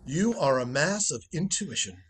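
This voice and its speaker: A man's voice: on the high side, big pitch swings, normal speed, slightly rough. A man playing a suave, roguish ex-husband in radio comedy style, breezy, wry and needling, on a home recording. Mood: wry, placating. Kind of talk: 1940s radio comedy acting.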